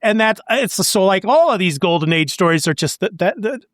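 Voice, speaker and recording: A man's voice: high-pitched, expressive, a little fast, fairly bright tone, no room sound, clean studio sound. A man hosting a podcast, moving between casual chat and scripted show announcements. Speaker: podcast host.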